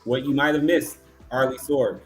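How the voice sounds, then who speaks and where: A man's voice: medium pitch, steady tone, normal speed. Man hosting, faint music behind. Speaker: awards show host. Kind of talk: casual live awards hosting.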